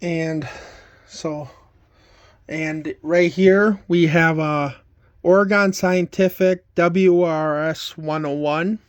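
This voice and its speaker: Slow-paced man giving casual show-and-tell. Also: on the high side, steady tone, dull audio, a little hiss or hum. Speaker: collector showing weather radios.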